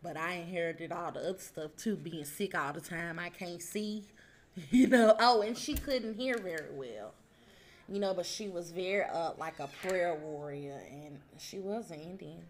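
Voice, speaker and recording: A woman's voice: lowish voice, moderate pace. A woman talks casually with family, explaining things conversationally. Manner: conversational, explaining, quoting others' remarks. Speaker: granddaughter recording her grandmother.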